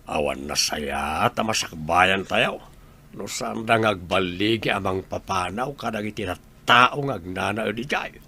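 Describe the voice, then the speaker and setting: A man's voice: sits low, measured pace, bright, forceful tone, husky, heavily acted. An actor with a northern Philippine accent playing an earnest, helpful village man in a conversational radio drama style. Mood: downcast.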